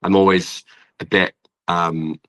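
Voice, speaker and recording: Deep, bright-voiced northern English man. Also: level tone, slow. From Preston, north of England. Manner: rambling, informal, often says "like". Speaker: guest singer-songwriter and producer. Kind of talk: casual interview chat.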